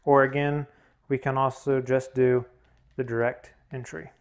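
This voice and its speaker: A man's voice: medium pitch, flat delivery, unhurried. A man narrating a hands-on tutorial, explaining plainly one step at a time. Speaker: instructor, demonstrator.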